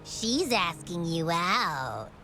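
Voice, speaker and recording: An actress playing a lively, curious and teasing young woman in a fantasy video game, expressive and playful. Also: on the low side, very slow, music in the background, heavily acted.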